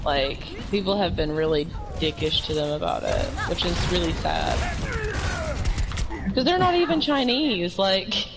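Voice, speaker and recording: Chat guest, mild Tennessee accent, candid and talkative, loud game music underneath. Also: medium pitch, very animated, unhurried, low-quality recording. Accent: slight Southern, Tennessee. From Tennessee, USA. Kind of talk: casual voice chat.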